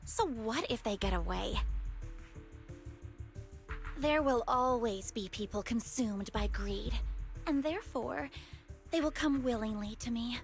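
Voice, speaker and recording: A woman's voice: fairly high pitch, a little slow, pauses often. An actress voicing a cold, scheming cult woman in a video game, condescending and persuasive, with music behind. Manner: condescending, persuasive, coolly mocking.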